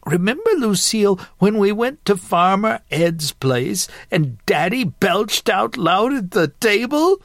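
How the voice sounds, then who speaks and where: A sassy old grandmother from an audiobook performed by a male narrator, pitched up and folksy. She teases and gossips bluntly at an unhurried pace. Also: expressive, fairly bright tone, no room sound, studio quality, full character voice. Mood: teasing. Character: sassy elderly Southern grandmother, playful, teasing, blunt.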